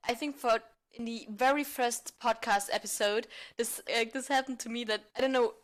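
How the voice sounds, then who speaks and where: A woman's voice: a little high, fairly even intonation, normal speed. A woman speaking as a podcast guest, casual and a little hesitant as she finds her words. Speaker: theatre group member, podcast guest. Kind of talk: casual chat and improvised banter. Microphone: recorded remotely on a phone.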